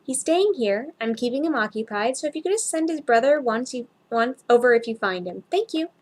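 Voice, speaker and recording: A woman voicing a kind, helpful female shop assistant in a story, talking in a gentle, coaxing, reassuring way. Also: a little high, lively intonation, brisk. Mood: businesslike.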